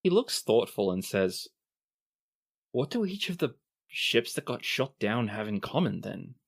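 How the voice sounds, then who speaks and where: Man, game master, narrating a tabletop game, mid-pitched voice. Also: big pitch swings, measured pace, vocal fry. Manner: plays non-player characters in quoted lines.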